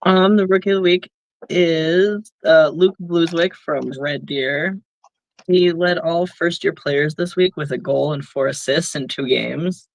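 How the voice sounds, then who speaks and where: A young man with a slightly higher, gravelly voice co-hosts a casual podcast, reading rookie stats in an easygoing way. The sound is close and dry. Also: steady tone, measured pace, no echo, rough recording. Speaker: podcast co-host.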